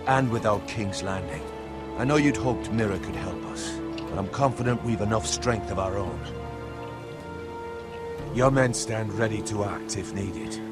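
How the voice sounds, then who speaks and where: A man playing a confident, loyal military advisor in a video game drama, speaking in a measured, formal way. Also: fairly low pitch, natural voice. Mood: steady, confident. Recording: loud music behind the voice.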